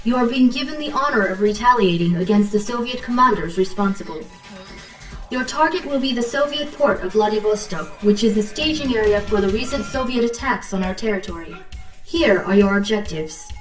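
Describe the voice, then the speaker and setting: An actress playing a composed, dutiful Japanese military intelligence officer, giving formal, clipped briefings in a video game. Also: female voice, on the high side, animated, a little fast, echoey room, recorded from a distance, soft background music, natural voice.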